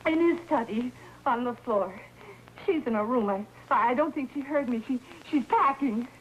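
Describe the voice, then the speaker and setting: A woman's voice: a little high, normal speed, natural voice. An actress playing a horrified, distraught woman in a 1950s television crime melodrama, stammering and emotional. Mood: shaken. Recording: dull, noisy 1950s TV soundtrack.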